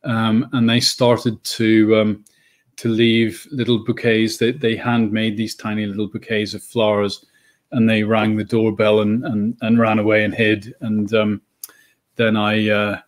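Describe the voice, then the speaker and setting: A middle-aged man with a Northern Irish accent, chatting as a guest on a video call from home, often pausing with ums and restarting his sentences. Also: on the low side, completely flat delivery. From Northern Ireland. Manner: hesitant, many ums and restarts. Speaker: guest, children's book author. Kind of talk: casual interview chat. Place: home room.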